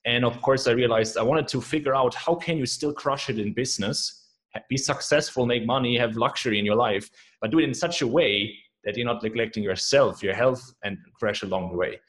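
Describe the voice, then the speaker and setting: A man's voice: mid-range voice, brisk, a bit bright. A young adult man with a German accent, a guest chatting casually and enthusiastically on a podcast. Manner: upbeat, enthusiastic, repeats "man" and "right?". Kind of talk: casual interview chat.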